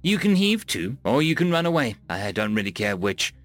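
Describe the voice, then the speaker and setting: A man voicing a laid-back human cargo ship captain who makes offhand, calm threats. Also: mid-range voice, no room sound, faint music behind, natural voice. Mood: cool. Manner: unhurried, offhand, coolly menacing.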